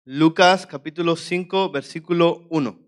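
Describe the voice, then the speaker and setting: Venezuelan guy preaching in church, smooth clear voice, pitched a bit high, earnest and jovial. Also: normal speed. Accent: Venezuelan Spanish. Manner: earnest, jovial, reads scripture expressively. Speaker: guest preacher. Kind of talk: sermon with scripture reading. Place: church hall.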